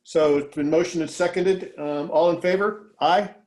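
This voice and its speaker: A man's voice: a little high, steady tone, normal speed, darkish tone, roomy sound. A man chairing a school board meeting over a video call, with plenty of ums and uhs. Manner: hesitant, many fillers. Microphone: home microphone on a video call.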